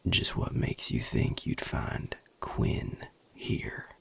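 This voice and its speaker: A man voicing a guarded, gruff vampire with a Southern US accent in an audio roleplay, husky and breathy, drawling with sardonic, curt authority. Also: slow, a bit bright, whispered. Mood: suspicious. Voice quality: gravelly, husky, breathy low voice. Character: guarded, gruff Southern male vampire defending his territory. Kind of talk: audio roleplay addressed to the listener.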